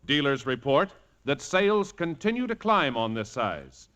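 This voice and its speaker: A man's voice: mid-range voice, big pitch swings, unhurried, a bit bright. A man announcing a scripted radio commercial in 1950s advertising style.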